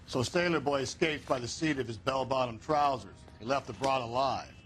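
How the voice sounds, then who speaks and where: An actor playing a jaded, slangy, sarcastic older police detective in a 1940s crime drama game. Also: male voice, medium pitch, moderate pace, soft background music, natural voice, low-quality recording. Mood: cynical. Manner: sardonic, slangy, world-weary.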